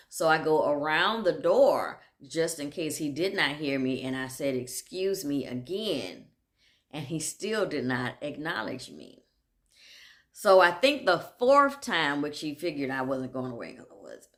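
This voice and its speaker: A woman's voice: low voice, fairly bright tone. A woman talking casually and candidly, laughing often and wandering off track as she tells stories. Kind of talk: casual monologue.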